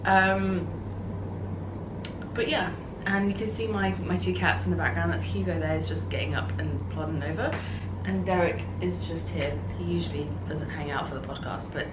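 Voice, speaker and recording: A woman in her thirties hosting a casual home video podcast, slightly hoarse and sniffly from a sore throat, rambling with lots of ums and little laughs. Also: lowish voice, fairly even intonation, normal speed. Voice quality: croaky. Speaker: podcast host. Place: home, cats nearby. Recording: roomy echo with noisy ambience.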